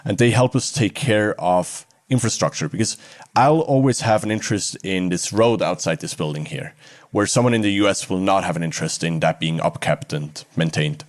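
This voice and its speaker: A man's voice: deep voice, normal speed, no echo, studio quality. A man from Switzerland, speaking reflectively as an interview guest.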